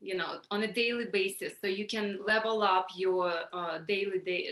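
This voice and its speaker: A middle-aged woman with a Ukrainian accent, leading an online workshop in a halting way, full of fillers and restarts, on a video call. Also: mid-range voice, fairly bright tone. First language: Russian or Ukrainian. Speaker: workshop facilitator. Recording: echoey room.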